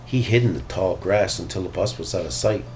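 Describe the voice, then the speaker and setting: A man narrating a children's story in an expressive read-aloud style. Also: deep voice, a little fast, faint music behind. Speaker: storybook reader.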